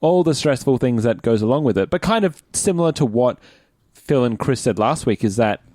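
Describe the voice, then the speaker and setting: A man's voice: medium pitch, lively intonation, brisk, warm tone, dry recording, studio quality. An Australian man hosting a casual podcast chat, talking in an informal, stop-start way. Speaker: podcast host and actor.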